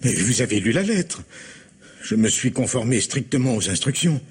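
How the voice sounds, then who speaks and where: An actor playing an earnest, bereaved middle-aged husband in a vintage French radio drama, grave, halting and formal. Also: male voice, medium pitch, very animated, slightly rough. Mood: earnest, protesting. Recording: faintly noisy old radio recording.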